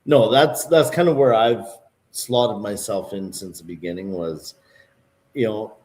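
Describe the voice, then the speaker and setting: Low-pitched man from Calgary and Vancouver, earnest, restarts often, casual political chat. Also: a little slow, a little mellow. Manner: earnest, with many restarts and "you know". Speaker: livestream co-host.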